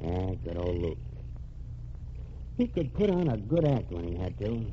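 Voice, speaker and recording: A cocky, wisecracking newspaperman in a vintage radio drama, with a lively, swinging delivery. He sounds cool and quietly menacing. The muffled old transcription carries a faint hiss. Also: sits low, a little slow. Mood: explaining. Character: cocky undercover ex-reporter man, cool tough. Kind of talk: 1940s radio acting.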